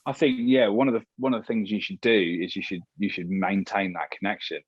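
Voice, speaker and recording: A man's voice: fairly low pitch, fairly even intonation, fairly quick, breathy edge. A British man speaking as an interview guest and radio presenter, chatty, candid and emphatic in casual conversation. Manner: chatty, candid, emphatic, acts out imagined remarks. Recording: roomy, slightly muffled sound.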